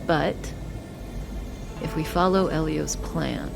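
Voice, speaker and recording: An enigmatic outlaw woman in a video game cutscene. She speaks very slowly in a fairly low register, composed and knowing, with a coy edge. Music plays clearly behind her. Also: steady tone, full character voice. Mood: grave, hushed. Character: enigmatic, composed adult woman, teasing elite outlaw schemer.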